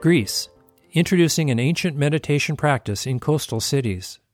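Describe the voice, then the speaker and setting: A man reading scripted news narration in an even, measured way. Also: on the high side, over music.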